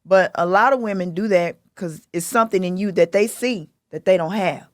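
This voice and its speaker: A woman's voice: medium pitch, normal speed, no echo, studio quality. A woman hosting a casual podcast chat, direct and emphatic in how she makes her points. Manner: direct, emphatic, mimics others in quotes. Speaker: podcast host.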